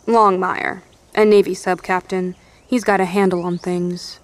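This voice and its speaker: A woman's voice: lowish voice, little pitch movement, a little slow, natural voice. A woman playing a plain-spoken, no-nonsense survivor in charge of an outpost, blunt and folksy in an audio drama. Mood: matter-of-fact.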